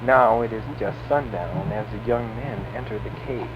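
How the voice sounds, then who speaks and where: A man's voice: on the low side, moderate pace, very noisy. A man narrating a scripted horror story in a stilted, formal read delivery. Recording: dull lo-fi recording under loud music.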